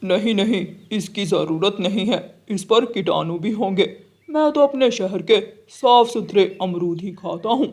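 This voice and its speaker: Man voicing a snobbish high-pitched cartoon crow. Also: unhurried, few pauses, a little mellow. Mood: disdainful. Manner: boastful, disdainful, complaining. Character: snobbish male city crow, fussy about dirt. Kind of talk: Hindi children's cartoon story acting.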